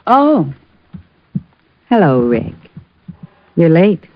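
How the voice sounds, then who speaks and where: Lively, coy radio girlfriend. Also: on the low side, drawn-out words, slight background noise, full character voice. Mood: teasing, probing. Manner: coy, arch questioning. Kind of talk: 1940s radio acting. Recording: dull vintage radio recording.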